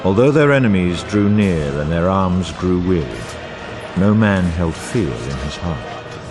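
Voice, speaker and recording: An actor playing a solemn, grave war chronicler, narrating a fantasy game in a grandiose, formal and portentous way. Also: male voice, low voice, very animated, a little slow, crowd noise, heavily acted. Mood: resolute, stirring. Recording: orchestral music bed under voice. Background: music.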